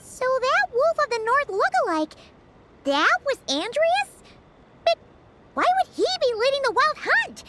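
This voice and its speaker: A woman voicing a tiny, chatty floating companion in a game, with a high, squeaky, bouncy voice. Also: sing-song, unhurried, very noisy, exaggerated voice. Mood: curious. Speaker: game character. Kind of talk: game cutscene voice acting. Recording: game music behind.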